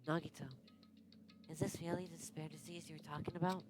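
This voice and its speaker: A woman's voice: sits low, level tone, quick pace, lightly acted. A woman playing an obsessed, giggling young woman. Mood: manic. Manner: dreamy, unsettling, giggling. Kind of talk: dramatic game dialogue. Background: loud game music.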